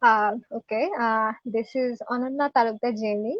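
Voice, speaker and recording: A woman, an intern student answering interview questions earnestly, pausing often with fillers. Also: fairly high pitch, fairly even intonation, dry recording, lo-fi sound. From Bangladesh. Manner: earnest, hesitant with frequent filler pauses. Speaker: guest, veterinary intern student.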